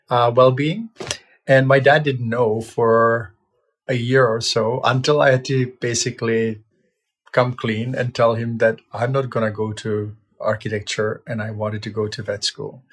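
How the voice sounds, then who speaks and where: A man in his fifties from Czechoslovakia, a veterinarian telling his life story in a relaxed, reflective way, with plenty of "uh" fillers and restarts. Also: mid-range voice, breathy edge. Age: 50s. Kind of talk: casual personal storytelling.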